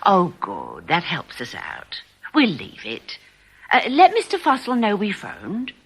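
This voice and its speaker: A woman's voice: medium pitch, wildly swooping pitch, unhurried, slightly rough, heavily acted. An actress in a radio drama playing an eager, slightly nervous woman accomplice, chatty, polite and hesitant. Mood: bluffing, businesslike.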